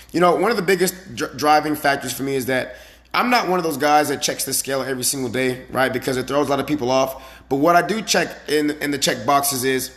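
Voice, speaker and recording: A man with a raspy, slightly hoarse voice giving a casual motivational talk as a fitness coach, emphatic and repetitive with frequent rhetorical questions. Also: medium pitch, a bit bright. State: slightly hoarse from the previous day.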